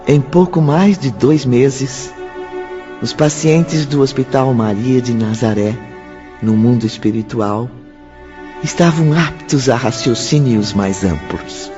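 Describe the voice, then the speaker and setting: An actor narrating a spiritual audio drama in a calm, sober, scripted style. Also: male voice, medium pitch, slow pace, lightly acted. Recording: dull audio with music behind.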